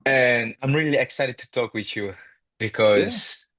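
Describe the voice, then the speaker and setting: A man's voice: medium pitch, unhurried, a bit bright, no room sound. A young man from Italy with an Italian accent, whose first language is Italian, asking prepared interview questions carefully as a student. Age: young adult. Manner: reads questions carefully, with brief yeahs.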